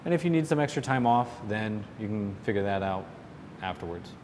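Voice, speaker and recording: A man's voice: animated, a little fast, darkish tone. A man hosting a question-and-answer show, giving informal, opinionated and explanatory advice off the cuff. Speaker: host answering listener questions on salon business. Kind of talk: casual unscripted business advice. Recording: roomy reverberant hall, low rumbling noise.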